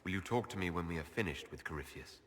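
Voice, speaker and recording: An earnest elven hero talks calmly and directly in a fantasy game. His voice is deep and his delivery quick, with faint noise underneath. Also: long reverb tail. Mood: earnest, concerned.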